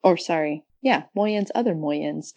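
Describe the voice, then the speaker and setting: A woman's voice: low-pitched, a little slow, warm tone, dry recording. A woman speaking as a podcast guest and researcher, explaining things casually and thinking aloud in an informal way.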